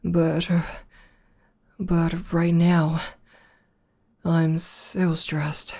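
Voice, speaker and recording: A woman playing a tough but devoted tomboy war demon girlfriend in an intimate ASMR roleplay, speaking softly, tenderly and haltingly. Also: fairly low pitch, flat delivery, creaky, full character voice. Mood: nervous. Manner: soft, halting, tender delivery.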